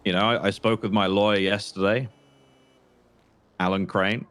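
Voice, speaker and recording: A man playing a bitter, menacing former trooper turned criminal in an improvised roleplay, speaking deliberately and haltingly with condescension. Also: lowish voice, flat delivery, a bit bright, close to an everyday voice. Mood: probing, controlled. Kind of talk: improvised crime roleplay phone conversation.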